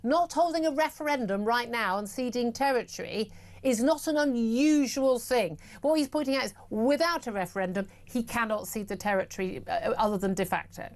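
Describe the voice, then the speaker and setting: A woman's voice: fairly high pitch, brisk. A woman presenting a heated TV panel debate, combative and exasperated, often cutting in.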